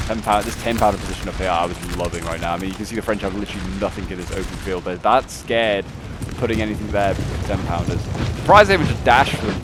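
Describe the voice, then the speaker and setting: A man with a fairly low voice talks through a strategy game battle in loose, rambling commentary full of fillers and restarts. Gunfire and artillery from the game are clearly heard beneath him. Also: noisy background. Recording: loud game battle sounds under voice.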